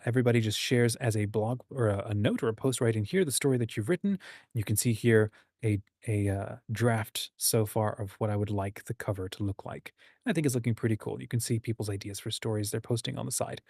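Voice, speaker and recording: A man's voice: mid-range voice, a little fast, gravelly, a little mellow, no room sound, clean studio sound. A man hosting an online writers' group, explaining things informally and conversationally while leaning on his key points. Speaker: host and anthology editor of a writing community. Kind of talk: informal explanatory talk to an online group, screen-share walkthrough.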